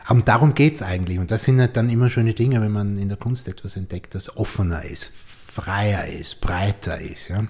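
Low, mellow-voiced male podcast host. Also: normal speed, hardly any pauses. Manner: thinks aloud, often ends with a questioning "oder?". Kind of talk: reflective conversational interview.

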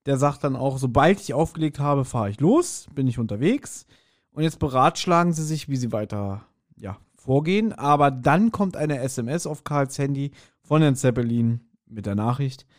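Male podcast co-host, medium pitch, colloquial and speculative, casual review talk. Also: lively intonation, moderate pace. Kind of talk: casual discussion of an audio drama.

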